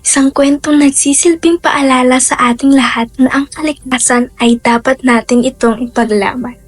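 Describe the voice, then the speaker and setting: A woman's voice: sits high, steady tone, normal speed. A woman presenting as a radio DJ in a scripted hosting style. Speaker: radio DJ and host. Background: music.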